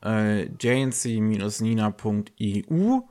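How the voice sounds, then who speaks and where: A man with a cold hosts a relaxed news podcast. His voice sits a bit low, and he talks casually with lots of fillers and restarts. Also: slow pace. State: has a cold. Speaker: podcast host.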